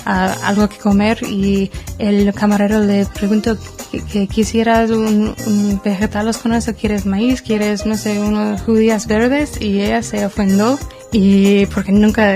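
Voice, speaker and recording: A woman speaking in a radio interview, slowly explaining kinds of folk tales and telling an anecdote. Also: medium pitch, level tone. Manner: slow, anecdotal. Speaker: historian interviewee. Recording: radio interview with music behind. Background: music.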